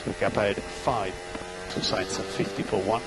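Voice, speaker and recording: A man working as a race engineer, giving clipped, steady calls of gaps, lap times and instructions over team radio. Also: deep voice, moderate pace, heavy background noise.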